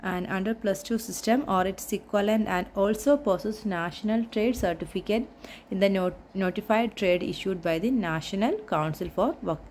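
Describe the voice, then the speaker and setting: A woman's voice: medium pitch, darkish tone, a little hiss or hum. A woman reading through a recruitment notice point by point, methodical, with small restarts. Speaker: job notification explainer.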